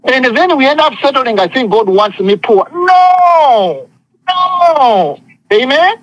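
A man's voice: extremely high pitch, very animated, slow pace, fairly bright tone, no room sound, dull audio. A man preaching an impassioned sermon, speaking emphatically with repetition and often calling for responses from listeners. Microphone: phone conference call.

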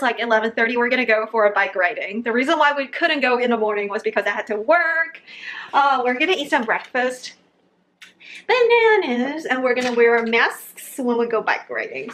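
A woman's voice: on the high side, a bit bright, slight background noise. Chatty upbeat woman vlogging at home. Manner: chatty, upbeat, filler-heavy. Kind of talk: casual vlog chat. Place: home kitchen and outdoors on a bike ride. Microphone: handheld camera at arm's length. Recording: music playing underneath.

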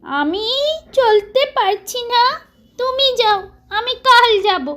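A woman's voice: extremely high pitch, expressive, takes it slowly, fairly bright tone, pitched above the performer's own voice, exaggerated voice. A woman voicing a small, clever young goat, eager and singsong, in a children's story. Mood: pleading. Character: small clever naive young goat kid, curious and cheeky.

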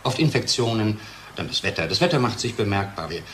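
An actor playing a defensive, downcast middle-aged research scientist in a radio play, talking in a hesitant, dry way. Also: male voice, lowish voice, sing-song, brisk, a bit bright, a little hiss or hum.

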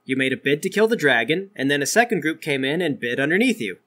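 A man acts as game master in comedic tabletop banter. His voice sits slightly high, with a bright edge and lively pitch movement as he explains. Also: quick pace.

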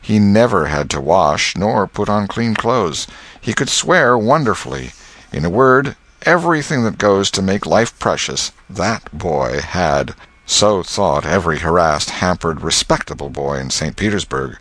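Male audiobook storyteller: lowish pitch, expressive melody, dry recording, literary read. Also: unhurried, no echo. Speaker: audiobook narrator.

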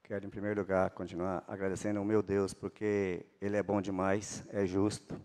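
A man's voice: low voice, level tone, moderate pace, a little mellow. A middle-aged man from Paraná, Brazil, a city councilman giving a fervent, religious political speech on a handheld microphone in a large council chamber. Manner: fervent, religious, rising to emphatic bursts.

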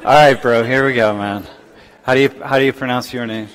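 Older male lecturer, casual and chatty, long hall reverb, mid-pitched voice. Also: steady tone, brisk, a crowd in the background. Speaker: professor. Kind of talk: lecture with class interaction.

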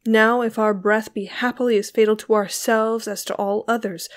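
A woman's voice: medium pitch, steady tone, unhurried, darkish tone, lightly acted. Audiobook role: woman as furious young lover, venomous, bitterly scornful, declamatory old-fashioned diction. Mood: venomous rage. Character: Giovanni, enraged, scornful young man. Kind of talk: dramatic literary audiobook reading.

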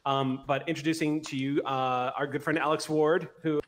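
A man's voice: a little high, level tone, moderate pace. A man in his thirties casually hosting a tabletop roleplay livestream as game master. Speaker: game master and stream host. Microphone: desk mic on video call.

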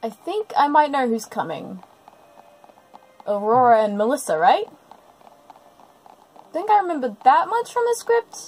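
A woman hosting a reaction video, giving casual commentary with expressive, exclamatory asides. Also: sits high, unhurried, pauses often, rough recording.

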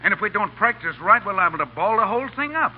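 An actor playing an impatient, slangy American gangster ringleader in a 1930s radio play. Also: male voice, high-pitched, very animated, quick pace, slightly rough, full character voice. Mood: impatient, commanding. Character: bossy, exasperated gangster ringleader rehearsing his mugs. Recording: tinny, narrow-band 1930s radio recording.

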